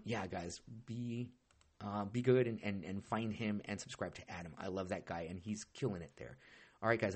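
A hoarse man with a low, husky voice rambles through a casual solo podcast. He swears freely and often stops to restart his sentences. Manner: rambling and heavily profane, with frequent restarts. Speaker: podcast host.

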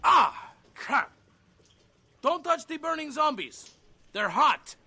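A man's voice: very high voice, big pitch swings, slow, noisy background, heavily acted. A man playing a jumpy but brave, wisecracking young survivor in a zombie audio drama. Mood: frantic, wry.

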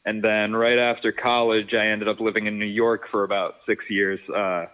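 A man's voice: on the low side, little pitch movement, normal speed. A man in his thirties from Rhode Island, a guest in a casual interview, thinking aloud and searching for words. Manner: thoughtful, searching for words, many fillers. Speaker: guest, sound engineer and producer. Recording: tinny phone-like remote call.

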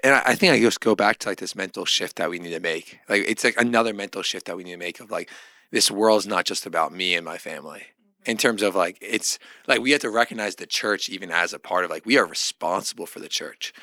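A man with a lowish voice and plenty of vocal fry co-hosts a podcast in a dry recording. He talks casually and earnestly, working through his thoughts in halting, rambling phrases. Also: pushed and bright, dry recording. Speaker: podcast co-host. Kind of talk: casual religious discussion.

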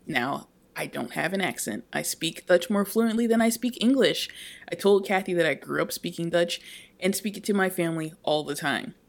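Lively woman reading a post aloud. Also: lowish voice, normal speed, gravelly. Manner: animated reading, laughing at herself. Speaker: podcast co-host.

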